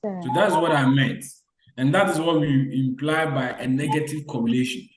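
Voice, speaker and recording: A man's voice: on the high side, moderate pace, roomy sound, a crowd in the background, poor audio. A man teaching an online lecture who quizzes students with repeated short questions and restates his points. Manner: repetitive call-and-response questioning.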